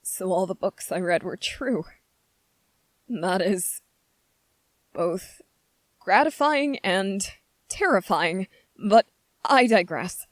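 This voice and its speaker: A woman voicing a gentle, anxious young prince in a fantasy ASMR roleplay, speaking politely and formally with hesitant, halting phrasing. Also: mid-range voice, expressive, dry recording. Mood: apologetic, reassuring. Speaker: voice actor in audio roleplay. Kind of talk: ASMR character roleplay, scripted fantasy monologue.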